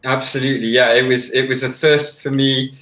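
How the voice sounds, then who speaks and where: A young man from Wales with a Welsh accent, a conductor talking softly over a video call, with many fillers and restarts. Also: mid-range voice, flat delivery, a little fast, reverberant. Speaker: orchestra conductor, guest. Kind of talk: casual interview chat. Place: small room at home. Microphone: headset on a video call.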